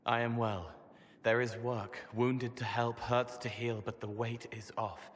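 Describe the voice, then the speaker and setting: An actor voicing a gentle young spirit in a fantasy game, speaking in cryptic, poetic fragments. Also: male voice, medium pitch, level tone, a little slow, big-room sound, natural voice. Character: gentle, otherworldly young male spirit boy.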